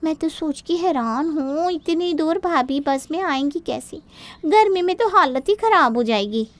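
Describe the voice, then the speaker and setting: A woman plays a crafty young daughter in a cartoon moral tale. Her voice is fairly high. She sounds mock-worried and innocent as she hints at what the family wants. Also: lively intonation, a little slow. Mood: mock concern. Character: sly young daughter feigning concern for sister-in-law. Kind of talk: Hindi cartoon moral-story dubbing.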